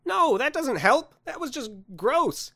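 A man's voice: very high-pitched, sing-song, moderate pace, fairly bright tone, no echo, clean studio sound, voice pushed up, full character voice. A man voicing a fussy, anxious office worker in a story, whiny, indignant and flustered. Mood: exasperated.

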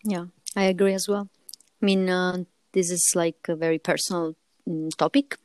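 Smooth-voiced woman podcast host, late twenties. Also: lowish voice, steady tone, normal speed, a little mellow, no echo. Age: late 20s. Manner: hesitant, many fillers and restarts. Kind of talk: casual interview chat.

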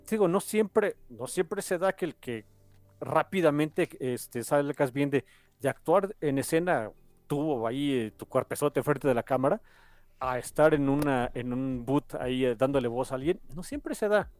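A man's voice: medium pitch, a little mellow, no room sound. A man with a Mexican accent hosting a podcast, chatting casually in an enthusiastic, rambling way. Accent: Mexican Spanish. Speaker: podcast host.

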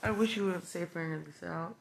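A woman's voice: lowish voice, a little fast, gravelly, crowd noise. A woman from Virginia with a Southern accent, co-hosting a casual family podcast and teasing bluntly. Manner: teasing, blunt, swears, calls people bud. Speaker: podcast co-host, mother.

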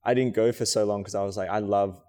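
A man's voice: lowish voice, fairly even intonation, quick pace, warm tone. A young man, a choreographer and dancer, chatting casually and reflectively in an interview, with many fillers. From Australia.

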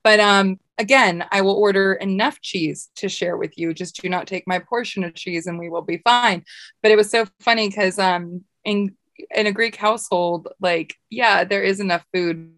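A woman's voice: medium pitch, fairly even intonation, moderate pace, fairly bright tone. A young adult woman co-hosting a podcast in casual chat, rambling and laughing often. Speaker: podcast co-host.

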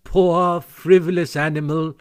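Man putting on a high, blustering, conceited toad. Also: flat delivery, unhurried, clear, resonant tone, lightly acted. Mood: lofty.

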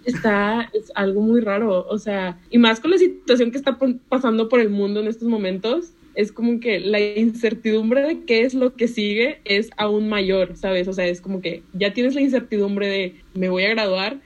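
A woman's voice: medium pitch, normal speed, few pauses. A young woman from Panama with a northern Mexican Spanish accent, a guest telling stories in a casual podcast chat. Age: young adult. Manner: chatty, storytelling, laughs often.

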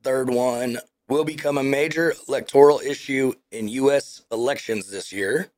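A man's voice: medium pitch, normal speed, darkish tone. A man co-hosting a podcast, reading news headlines and list items aloud.

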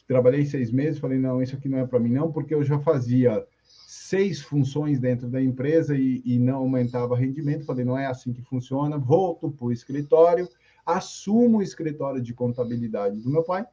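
A man's voice: mid-range voice, fairly quick, warm tone, slightly rough, muffled recording. A man in his fifties, a coach being interviewed, telling his story in an easygoing way with fillers. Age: 50s. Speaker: guest coach. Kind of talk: casual interview on a live stream.

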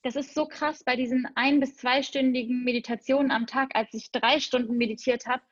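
Young woman, high voice, enthusiastic chatty guest; dry, muffled sound. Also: steady tone, fairly quick, dry recording. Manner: enthusiastic, spiritual, chatty with fillers. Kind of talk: casual conversation.

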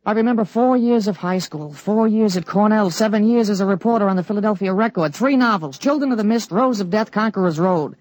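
Guy playing a calm know-it-all teen in an old radio play, dry close sound. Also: sits high, fairly quick, hardly any pauses, no room sound, lightly acted, rough recording. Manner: calm, matter-of-fact explaining. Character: teenage boy with an adult mind, calm and knowing. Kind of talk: 1940s radio acting.

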